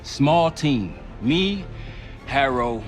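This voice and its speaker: A man playing a steady, defiant field operative in a video game, talking in a terse, matter-of-fact way. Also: mid-range voice, very slow, soft background music. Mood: businesslike. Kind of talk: cinematic video game acting.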